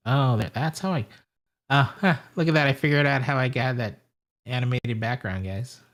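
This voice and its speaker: A man's voice: mid-range voice, normal speed, fairly bright tone. A man hosting a casual live stream, greeting viewers and rambling aloud while he fiddles with settings. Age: adult with a wife and family.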